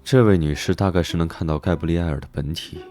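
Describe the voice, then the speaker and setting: Very deep, aloof man played by an actor. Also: fast talker, mellow, soft background music, natural voice. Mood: low, watchful. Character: cool, aloof man, dryly dismissive investigator. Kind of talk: Chinese animated drama voice acting.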